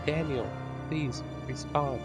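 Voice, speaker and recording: An unhinged, obsessive young woman stalker, as a man narrating puts her on, her voice pushed up high. She lurches from rage to pleading to sickly sweet menace. Music plays steadily underneath. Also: steady tone, slow. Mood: remorseful. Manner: swings between rage, pleading and sugary menace.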